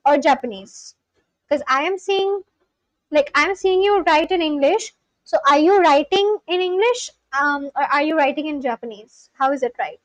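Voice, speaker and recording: A young woman whose first language is Bengali, chatting casually on a live stream, reading out viewers' comments and getting excited. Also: very high voice, no echo, low-quality recording. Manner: excitable, gasps and exclaims. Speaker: live streamer learning Spanish.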